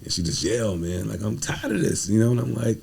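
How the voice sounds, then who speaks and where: A man with a very low, mellow voice talks casually in a podcast conversation. He speaks as a guest sharing personal stories, leaning on repeated phrases for emphasis. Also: fast, creaky, slight background noise.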